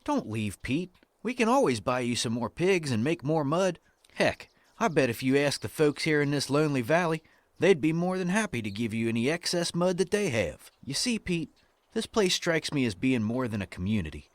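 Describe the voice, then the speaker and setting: A man's voice: on the high side, very animated, normal speed, no room sound. A man playing an earnest, neighborly cowboy hero who speechifies in a comic western audio drama. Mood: earnest, encouraging.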